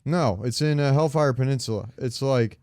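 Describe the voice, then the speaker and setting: A man's voice: mid-range voice, moderate pace, a little mellow, no echo, clean studio sound. A man co-hosting a gaming podcast in casual, rambling banter, often thinking aloud as he talks. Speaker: podcast co-host.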